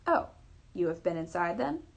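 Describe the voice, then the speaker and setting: A woman voicing a keen, probing male detective in a storybook reading, with a deliberate, inquisitive manner. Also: fairly low pitch, very animated, gravelly, heavily acted. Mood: curious.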